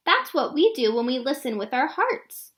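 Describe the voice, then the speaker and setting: Lady doing a sweet earnest little schoolgirl from a picture book, really high, bouncy pitch. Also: normal speed. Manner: earnest, expressive. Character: earnest, kind-hearted, eager young schoolgirl in a picture book.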